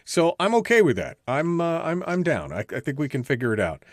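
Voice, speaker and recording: A man's voice: fairly high pitch, big pitch swings, fairly quick, no room sound, studio quality. A man hosting a radio show in casual talk-radio chat, with a folksy, blunt and opinionated way of talking.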